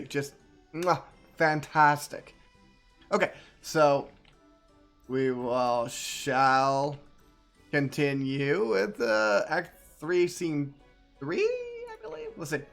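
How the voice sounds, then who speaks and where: A man giving casual live gaming commentary in a halting, conversational way, often repeating his words. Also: on the high side, big pitch swings, fairly bright tone, soft background music. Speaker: game streamer.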